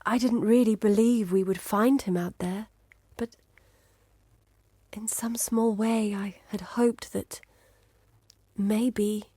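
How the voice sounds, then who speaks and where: A woman's voice: medium pitch, fairly even intonation, takes it slowly, long pauses, dark tone, breathy edge, dry recording. An actress playing an earnest, determined woman guide in a video game, with a grave, articulate delivery. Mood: sorrowful, subdued. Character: earnest, determined woman guide searching for her lost father. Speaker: game character voice. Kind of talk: dramatic video game voice acting.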